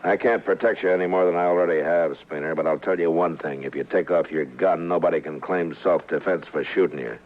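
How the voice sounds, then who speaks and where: Male actor as stern American frontier marshal, extremely low voice, laconic, authoritative, old radio western, narrow tinny recording. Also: fairly even intonation, brisk, few pauses, close to an everyday voice. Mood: firm, dismissive. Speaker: radio drama lead and narrator.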